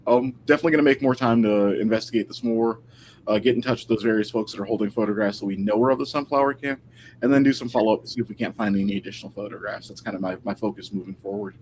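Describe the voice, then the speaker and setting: A man's voice: lowish voice, rapid-fire, darkish tone, a little hiss or hum, low-quality recording. A man talking casually and thoughtfully as a guest historian in an informal interview, picking his words tentatively over a video call.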